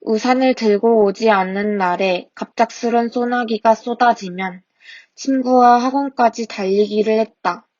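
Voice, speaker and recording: A woman's voice: fairly high pitch, no echo. Young woman reading a reflective essay. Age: 20s. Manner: soft, reflective reading with small self-corrections. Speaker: podcast host reading her essay. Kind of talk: reading a personal essay aloud. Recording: dry, dull lo-fi home mic.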